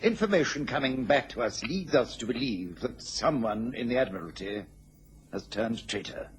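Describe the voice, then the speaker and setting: An actor playing a worried, dignified British government official in a radio drama, formal and hesitant. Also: male voice, medium pitch, lively intonation, normal speed, lightly acted. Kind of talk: mid-century radio drama acting. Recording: noisy old radio recording.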